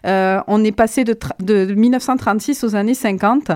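Warm-voiced woman. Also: mid-range voice, no echo, clean studio sound. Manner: measured, steady reading; chatty with fillers when talking. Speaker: guest book columnist. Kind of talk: literary reading and casual radio chat. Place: radio studio. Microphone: studio microphone.

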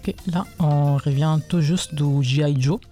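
A man's voice: on the high side, steady tone, unhurried, warm tone, faint music behind. A young man, chatting casually on radio with frequent hesitations and fillers. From Italy. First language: Italian. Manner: hesitant, many fillers, mixes in English phrases. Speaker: guest co-host.

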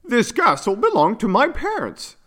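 Man playing a high-voiced earnest young unicorn. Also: wildly swooping pitch, normal speed, a bit bright, heavily acted. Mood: sad, wistful. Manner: earnest, childlike. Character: gentle young male unicorn, wistful and eager.

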